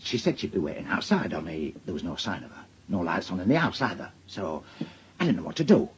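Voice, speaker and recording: A man's voice: low-pitched, very animated, fast talker. An actor playing an anxious married man in a naturalistic radio drama, with a Northern English accent and a hesitant, defensive, pleading delivery. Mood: subdued, recounting. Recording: dull lo-fi audio, faint noise.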